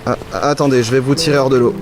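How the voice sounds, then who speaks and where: A man's voice: mid-range voice, steady tone, fast talker, natural voice. A man playing a kindly, hesitant dock worker in an audio drama, stumbling a little between phrases. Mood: concerned, helpful. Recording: music bed behind the voice.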